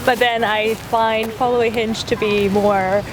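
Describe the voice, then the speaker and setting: A woman's voice: fairly high pitch, little pitch movement, measured pace. Chatty young woman from Taiwan. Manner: chatty, thoughtful, laughs lightly. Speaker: interviewee. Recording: street noise behind.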